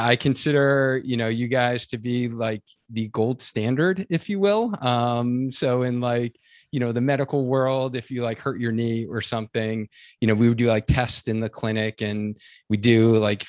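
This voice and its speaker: A man hosting a podcast in casual, enthusiastic interview chat, speaking haltingly with lots of "like" and "you know". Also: medium pitch, no echo, studio quality. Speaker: podcast host.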